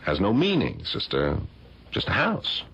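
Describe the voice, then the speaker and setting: A man's voice: low voice, wildly swooping pitch, moderate pace, gravelly, a bit bright, slightly rough, exaggerated voice. A man acting in a radio drama as a genial married art academic with a conversational, slightly fussy way of appraising things. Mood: thoughtful, appraising. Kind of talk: radio theater acting, naturalistic dialogue. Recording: muffled, dull old radio broadcast.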